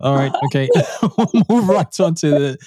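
A man's voice: fairly high pitch, expressive, crowd noise. A man hosting a business podcast, reading an intro and then interviewing a guest, often repeating words as he asks questions. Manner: halting, repeats words when asking questions. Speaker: podcast host. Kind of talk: podcast interview with read sponsor ad.

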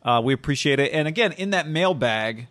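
Laid-back man, halting sports chat. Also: a little high, lively intonation, a bit bright. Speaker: podcast co-host. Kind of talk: casual sports chat and show plugs.

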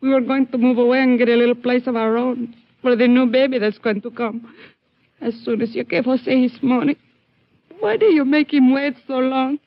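An actress playing a tearful young Mexican widow and mother in a 1950s radio drama, with a Mexican accent and a halting, plaintive manner. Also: high voice, fairly even intonation. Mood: accusing, hurt. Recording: dull lo-fi 1950s radio recording.

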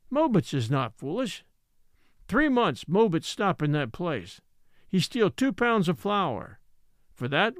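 A man's voice: high voice, dry recording, studio quality, heavily acted. A man voicing an old Native man in a story reading, resigned and scornful, speaking simple broken English with rhetorical questions. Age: older.

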